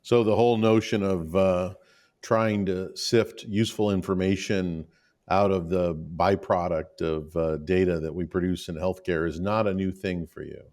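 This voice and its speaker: A man hosting a podcast, introducing his guest and asking questions in a measured, steady way. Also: sits low. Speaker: podcast host.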